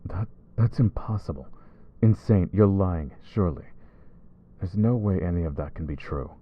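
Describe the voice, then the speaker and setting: A man voicing a brooding male vampire who hunts his own kind, speaking low and measured in an ASMR roleplay. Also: lively intonation, dull audio. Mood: shocked.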